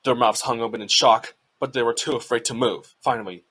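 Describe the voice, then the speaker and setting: A man's voice: mid-range voice, fairly even intonation, a little fast, sharp tone, slightly rough. A man giving scripted narration of a storybook as its narrator.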